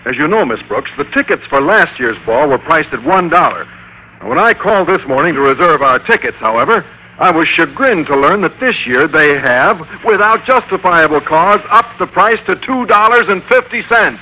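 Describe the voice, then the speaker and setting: An actor playing a naive, stingy schoolteacher, earnest, stiffly formal and easily flustered, in vintage radio comedy style. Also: male voice, a little high, lively intonation, normal speed, sharp tone. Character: naive, stingy, literal-minded male schoolteacher. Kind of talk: 1940s-50s radio comedy acting. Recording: faintly noisy old radio recording.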